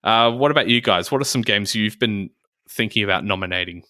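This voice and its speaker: A man's voice: lowish voice, a little fast, pushed and bright, no room sound, studio quality. A man co-hosting a casual board game podcast, enthusiastic about the games he recommends. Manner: enthusiastic, upbeat. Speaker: podcast co-host. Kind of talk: casual chat.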